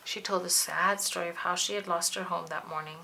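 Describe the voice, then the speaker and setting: A woman's voice: fairly low pitch, fairly even intonation, brisk, gravelly, bright, forceful tone, slight background noise, rough recording. A woman reading a children's storybook aloud, narrating expressively and dramatically. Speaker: children's book reader.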